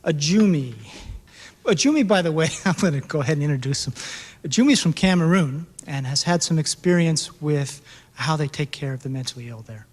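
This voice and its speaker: A man's voice: fairly high pitch, animated, fairly quick. A man answering audience questions at a public talk, thinking aloud with many fillers. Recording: reverberant hall.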